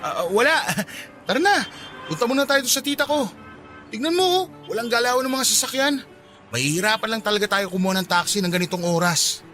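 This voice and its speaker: An actor playing an eager, caring, shyly smitten young office worker in a Filipino radio drama, with a Filipino accent. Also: male voice, high-pitched, expressive, brisk, bright, forceful tone, faint music behind. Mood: shy, flustered. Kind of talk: radio drama acting in Taglish.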